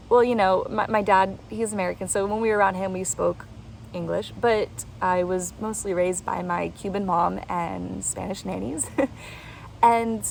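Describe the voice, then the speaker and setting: A woman hosting her own channel, talking softly and reflectively in a casual way. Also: mid-range voice, gravelly, a little hiss or hum, low-quality recording. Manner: soft-spoken, reflective. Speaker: host.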